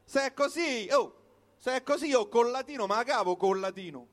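A man's voice: very high voice, animated, moderate pace. A man with a Roman accent performing a comic storytelling monologue in short, colloquial, punchy phrases. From Rome, Italy. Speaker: comic performer reciting his own piece. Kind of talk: live comic storytelling monologue built on wordplay.

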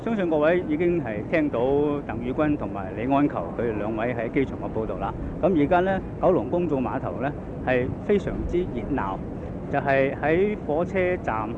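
Male field reporter, Hong Kong accent, mid-pitched, live outdoor radio report over a thin, noisy phone-like line. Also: animated, normal speed. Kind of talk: live on-location news report. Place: outdoors at a busy waterfront pier.

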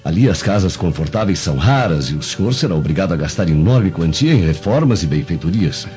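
A man's voice: low voice, big pitch swings, brisk, darkish tone. A man playing a courteous, persuasive Roman guardsman in a radio drama, formal and obliging. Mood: smoothly persuasive. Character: deferential, persuasive Roman guardsman advising a senator. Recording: dull lo-fi radio drama, music behind.

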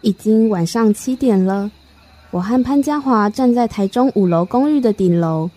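A woman playing a reflective first-person storyteller in a radio drama, narrating in a measured, literary way. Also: medium pitch, animated, dark tone, soft background music, lightly acted. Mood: wistful, reflective.

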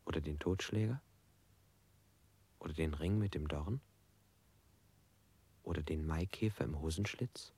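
An actor playing a bound, beaten male prisoner in a radio drama, talking in a halting, bitter, musing way. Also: rumbling low, darkish tone, husky, dry recording, full character voice. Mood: brooding, bitter.

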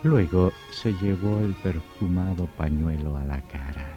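Ominous low-voiced horror narrator. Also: male voice, measured pace. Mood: grave storytelling. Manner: dramatic, literary, theatrical. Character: ominous storyteller of a sinister horror tale. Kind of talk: scripted narration in old radio horror drama. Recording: dull old radio recording, loud music.

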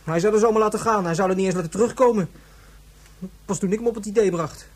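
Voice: mid-range voice, fast, close to an everyday voice. A performer playing a whiny, insistent and imaginative young boy in a radio drama, with a childlike voice. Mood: sulky, bitter. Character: petulant, imaginative young boy, upset about his mother.

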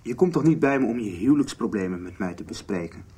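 Husky sullen young man. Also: on the low side, animated, fast, warm tone. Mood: sulky, weary. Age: young adult. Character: sullen, critical young adult son. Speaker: actor in a radio soap. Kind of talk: 1990s Dutch radio soap dialogue.

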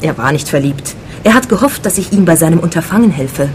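A woman's voice: deep voice, animated, brisk, a little hiss or hum. An actress playing a cool, curt, alluring female crime novelist in a radio play. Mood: confiding.

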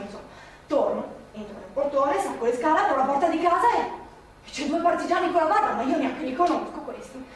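Actress as elderly working-class woman, wartime memories, high bright storytelling voice, live stage, distant and echoey. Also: slight background noise, lightly acted. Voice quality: lively storyteller, mimics the people she remembers. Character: elderly working-class woman from a Milan courtyard remembering the war. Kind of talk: theatrical monologue, recorded live. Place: theatre stage. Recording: echoey theatre stage, mic far off.

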